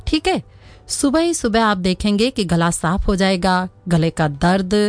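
A woman presenting a radio home-remedy segment. She speaks in a patient, instructional way, repeats each remedy slowly and often checks in with a rising "okay?". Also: medium pitch, dry recording.

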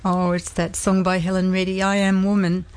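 An older woman sharing warmly and reflectively in a women's circle. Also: mid-range voice, little pitch movement, moderate pace, clear, resonant tone. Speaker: group member.

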